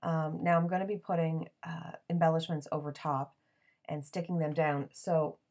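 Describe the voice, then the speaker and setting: Woman with a raspy first-thing-in-the-morning voice, a bit low, rambling craft voiceover with ums and small laughs. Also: level tone, moderate pace, darkish tone. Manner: chatty, rambling, lots of ums and little laughs. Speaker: crafting channel host.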